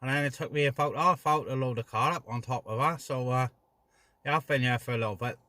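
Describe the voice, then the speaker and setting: A man's voice: mid-range voice, fast talker, sharp tone, a little hiss or hum. A man chatting casually and a little hesitantly as he hosts a CB radio net. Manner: hesitant, informal. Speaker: CB radio net host and vlogger. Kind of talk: casual rambling chat to camera and radio. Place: outdoors on a hilltop by a car.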